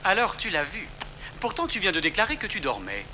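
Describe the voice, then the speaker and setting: A woman voicing a shrewd, calm male fennec fox detective in a cartoon, questioning deliberately and sceptically. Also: fairly low pitch. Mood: shrewd probing. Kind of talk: animated cartoon dialogue. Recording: loud music behind the voice.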